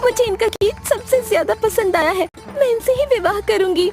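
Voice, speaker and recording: A young princess, voiced by a woman, speaks in an extremely high voice. She is a willful cartoon daughter of a king, with music clearly underneath. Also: normal speed. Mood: pleading, decided. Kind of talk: Hindi cartoon moral story dialogue. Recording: music behind the voice.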